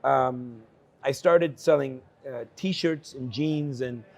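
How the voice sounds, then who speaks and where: A man in his forties from El Salvador, a guest speaking earnestly at an investment conference about his life, with frequent fillers and quick laughs. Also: medium pitch, creaky. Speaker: guest entrepreneur. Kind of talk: candid conversational storytelling.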